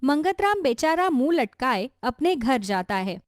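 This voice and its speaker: A woman's voice: high-pitched, big pitch swings, unhurried, no room sound, studio quality. A woman reading scripted narration for a cartoon story.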